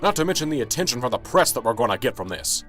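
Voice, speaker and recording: A man playing a stressed, gruff police sergeant in a radio drama, blunt and sarcastic. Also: medium pitch, big pitch swings, quick pace, fairly bright tone, faint music behind. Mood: sarcastic, exasperated.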